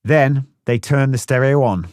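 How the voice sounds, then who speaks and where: A man's voice: medium pitch, unhurried, a little mellow, no room sound, clean studio sound. A man narrating a first-person memoir audiobook in a blunt, plain-spoken way, swearing freely.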